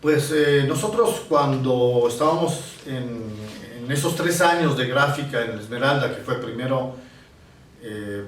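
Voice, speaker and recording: A man's voice: mid-range voice, a little hiss or hum. Older man reminiscing from across a roomy space. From Italy. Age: 60s. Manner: reflective, pausing to search for words. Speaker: painter being interviewed. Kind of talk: reminiscing in an interview. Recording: roomy, distant mic with faint ambience.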